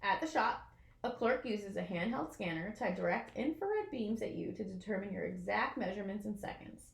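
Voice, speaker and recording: A woman reading a children's picture book aloud in an expressive way, as if to young listeners. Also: mid-range voice, moderate pace, gravelly, reverberant. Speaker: storybook reader.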